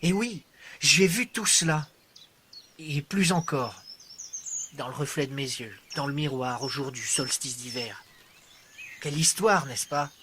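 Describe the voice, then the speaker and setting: Male storyteller, voice a touch high, for a theatrical poetic monologue ranging from hushed to intense; faint ambient noise. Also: measured pace, pauses often. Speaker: writer and storyteller.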